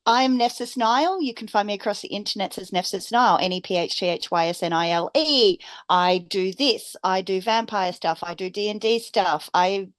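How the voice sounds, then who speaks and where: A woman's voice: medium pitch, moderate pace, hardly any pauses, no echo. A woman chatting casually and wryly as a player on a tabletop game stream. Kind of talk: casual chat.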